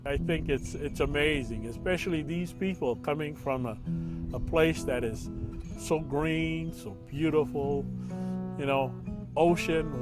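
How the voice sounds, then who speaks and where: Man recalling old days, slightly higher voice, slow and reflective, loud plucked guitar underneath.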